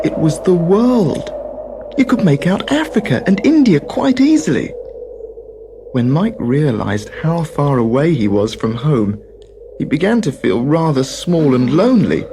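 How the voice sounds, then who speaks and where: A storyteller reading a children's tale as dramatic scripted narration. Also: mid-range voice.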